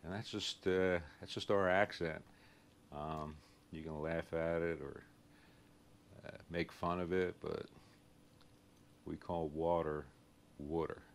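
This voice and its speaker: A man with a thick Philadelphia accent and a very deep, low-pitched voice talks through a lawn job in an easygoing, plain-spoken way. He is recorded outdoors near his truck, with a faint hiss behind him. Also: fairly even intonation, slow, pauses often. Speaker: home and lawn care show host. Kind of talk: casual chat to camera.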